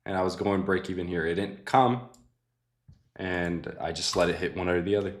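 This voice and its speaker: A young man with a low, deep voice runs a live trading stream. He talks in a loose, laid-back way to his chat and thinks out loud at his desk mic, often trailing off into a soft mutter. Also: fairly even intonation, moderate pace. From Mexican family. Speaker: livestream host trading futures. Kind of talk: casual live trading commentary.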